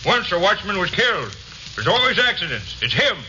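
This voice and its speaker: A man's voice: sits high, sing-song, brisk, sharp tone, full character voice. An actor playing a curt, hostile, superstitious warehouse watchman in a 1950s radio drama. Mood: accusing. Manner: curt, gruff, accusing. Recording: muffled, hissy 1950s radio recording.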